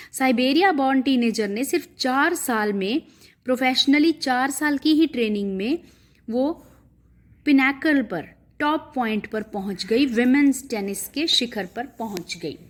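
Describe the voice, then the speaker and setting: Slow, high-voiced woman teacher. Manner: reads English lines slowly, then explains in Hindi. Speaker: English teacher. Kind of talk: explaining an English text in Hindi.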